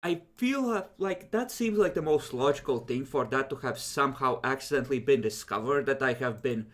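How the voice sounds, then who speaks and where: A man's voice: medium pitch, expressive, a little fast. A man talking casually and wryly as a player building his character for a tabletop role-playing game, swearing now and then.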